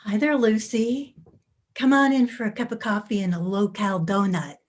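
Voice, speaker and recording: A woman's voice: mid-range voice, steady tone, moderate pace, natural voice. A woman playing a chatty, suspicious but hospitable suburban housewife in a staged play reading. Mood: hospitable, wary. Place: video call.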